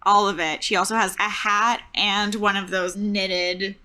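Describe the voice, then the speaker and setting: A woman's voice: medium pitch, steady tone, measured pace, sharp tone, slight background noise. A woman playing a tabletop role-playing game, telling the story in a casual, improvised way.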